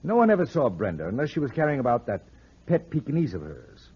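An American actor playing a cool, sardonic private detective in 1940s radio drama, clipped and wry, with a husky voice. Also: male voice, mid-range voice, wildly swooping pitch, fast, exaggerated voice. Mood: explaining, accusing. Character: cool, sardonic male private detective cornering murder suspects. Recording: dull lo-fi old radio recording.

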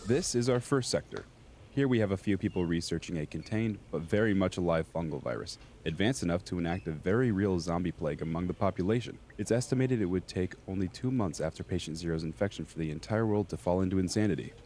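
A man playing a calm, imperious senior scientist in an audio drama, speaking formally and dismissively. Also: low voice, fast, a little hiss or hum. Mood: formal, composed. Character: calm, imperious senior male scientist running secret labs. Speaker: doctor, lab director.

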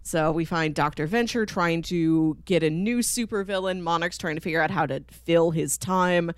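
A woman's voice: lowish voice, normal speed, clean tone, no room sound, studio quality. A woman co-hosting a podcast, talking casually and articulately about pop culture in well-formed summaries. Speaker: podcast co-host.

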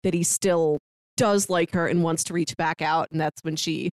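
A woman's voice: deep voice, steady tone, moderate pace, no echo, clean studio sound. A woman co-hosting a podcast, talking casually about film in a chatty, detailed way with playful asides. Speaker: podcast co-host.

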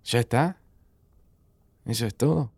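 A man's voice: medium pitch, unhurried, vocal fry, a little mellow, slightly rough, no echo. An actor playing a wry, sentimental young man with an Argentine accent, a devoted friend in an audio drama. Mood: wry, uneasy. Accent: Argentine Rioplatense. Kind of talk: naturalistic audio fiction acting.

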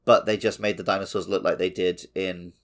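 A man chatting casually as a podcast co-host, joking and getting animated in an informal way. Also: low-pitched, brisk. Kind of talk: casual chat.

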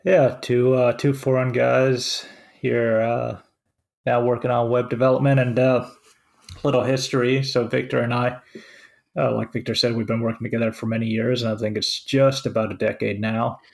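A gravelly-voiced man from Finland, in his forties, co-hosts a relaxed chat. He talks haltingly, often pausing on fillers and restarting his sentences. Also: medium pitch. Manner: hesitant, many fillers and restarts. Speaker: co-host. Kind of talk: casual podcast chat.

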